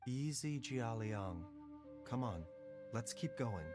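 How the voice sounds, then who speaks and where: A man playing a calm, gentle, learned doctor in a video game, speaking in a measured, articulate way. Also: mid-range voice, over music. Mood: composed.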